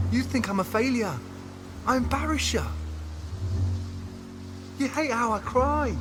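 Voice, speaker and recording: A man playing a hurt, sensitive but stubborn boyfriend in an audio drama, earnest and emotional. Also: very high voice. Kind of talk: audio drama acting, intimate dialogue. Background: music.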